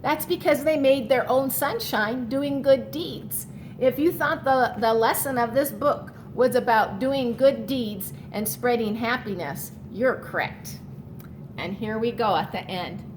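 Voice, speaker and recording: A woman's voice: fairly high pitch, unhurried, slight background noise. A woman reading a picture book aloud to children like a teacher, expressively stretching key words and adding explanatory asides for young listeners.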